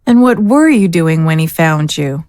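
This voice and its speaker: A woman's voice: deep voice, expressive, measured pace, darkish tone, no room sound, clean studio sound. A woman playing a righteous, severe swordswoman in a fantasy audio drama, accusing and indignant in manner. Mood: stern, accusing. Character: stern, righteous female swordswoman of a warrior order.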